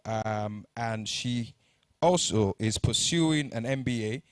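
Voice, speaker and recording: A man's voice: medium pitch, takes it slowly, no echo. A man, introducing conference speakers from the stage, reading their bios and stumbling now and then on names. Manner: reads bios, stumbles on names, jokes lightly. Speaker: conference emcee.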